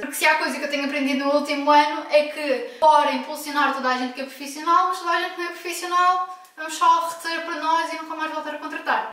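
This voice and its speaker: A woman's voice: high-pitched, sharp tone. A woman from Portugal with a European Portuguese accent, talking frankly and emphatically in a casual home vlog, recorded at a distance in an echoey room at home. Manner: frank, emphatic, with self-corrections. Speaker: vlogger.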